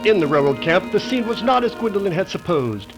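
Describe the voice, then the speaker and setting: Casting: male actor as vintage radio western narrator, animated, raised pitch, suspenseful storytelling. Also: fairly quick, music in the background, low-quality recording. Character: dramatic announcer-narrator of a western serial.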